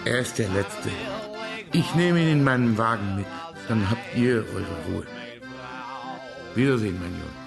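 An actor playing a bluff, well-to-do middle-aged uncle in a German radio drama. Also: male voice, lowish voice, measured pace, vocal fry, pauses often, over loud music. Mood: gruff farewell.

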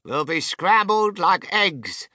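Man voicing a fretful old grandmother, high-pitched. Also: animated, takes it slowly, sharp tone, dry recording, clean studio sound, exaggerated voice. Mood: panicked. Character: fretful, blunt, doom-laden old grandmother.

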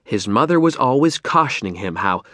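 A man narrates a novel as an audiobook. His voice is medium in pitch and very lively in intonation, recorded dry and close. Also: moderate pace, no echo. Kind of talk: expressive scripted narration of fiction.

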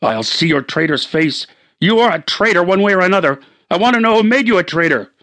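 A man's voice: a little high, very animated, a little fast, voice pushed up. A man reading an audiobook, voicing a frantic middle-aged husband who gives urgent, breathless commands while fleeing with his wife. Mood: furious.

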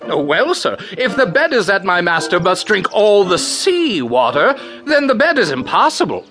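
A quick-witted, deferential slave played by a man in a storytelling show. He is polite and earnest, with a high, very lively voice. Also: slight background noise. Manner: polite, hesitant openings.

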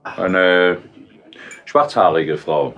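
A man's voice: sits low, very slow, fairly bright tone, muffled recording, exaggerated voice. An actor playing a cool, unflappable male police detective in a German audio drama, speaking with dry irony and composure. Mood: cool, probing. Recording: music under the dialogue.

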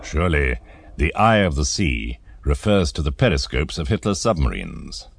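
Low creaky guy narrating a dramatised history doc, measured but theatrical, lots of rise and fall. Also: big pitch swings, dry recording, studio quality. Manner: theatrical, measured, rhetorical questioning. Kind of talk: scripted dramatised documentary narration.

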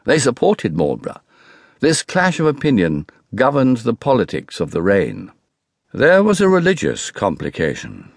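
A man with an English accent giving a formal, measured, oratorical reading of literary history as a narrator. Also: mid-range voice, huge pitch swings, dry recording, studio quality.

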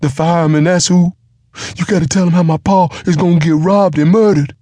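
A man's voice: a little high, fairly even intonation, fairly quick, dark tone, no echo, voice pushed up, close to an everyday voice. A man voicing a hulking, half-witted giant who pleads and whines in a strained, raised voice. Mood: whining insistent. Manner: whining, insistent, pleading.

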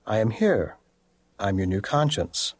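A man playing an implanted artificial conscience in an audio drama, a calm, formal inner voice that admonishes and persuades. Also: lowish voice, a little slow, slightly rough. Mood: calm, instructive.

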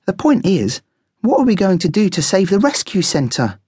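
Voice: mid-range voice, very animated, moderate pace, a little mellow, slightly rough, no echo, clean studio sound, voice pushed up. A narrator voicing an earnest, eager male guinea pig who reasons hopefully in a children's story. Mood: urgent.

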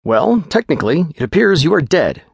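A shaken middle-aged doctor trying to sound in control, as a narrator puts him on, his voice lifted a little above the storyteller's own and pressed a touch louder. He lists findings in a fussy, clinical manner and hurries to reassure. The tone is husky and dry, close to the mic. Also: a little high, big pitch swings, a little fast, dry recording, voice pushed up, full character voice. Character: rattled middle-aged male country doctor.

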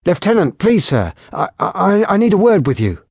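A man's voice: high voice, sing-song, normal speed, vocal fry, a little mellow, breathy edge, no room sound, clean studio sound, pitched above the performer's own voice, full character voice. A man reading an audiobook, voicing a frightened young male patrol soldier who stammers with fear. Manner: stammering, fearful.

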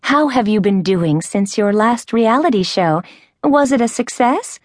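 A woman's voice: fairly high pitch, lively intonation, a little slow, dry recording, clean studio sound, voice pushed up. A woman voicing an enthusiastic, exclamatory tall woman greeting an old friend, in a storybook reading.

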